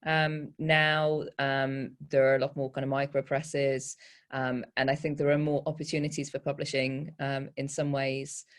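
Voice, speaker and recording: A woman poet talks informally on a panel, giving practical advice. Her delivery is halting and candid, with a self-deprecating touch. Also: a bass voice, level tone.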